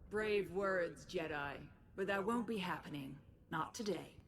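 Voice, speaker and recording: A woman playing a cruel, taunting game villainess who speaks slowly and deliberately with menace. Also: fairly low pitch, creaky. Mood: mocking. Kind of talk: dramatic video game acting. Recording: background noise and game ambience.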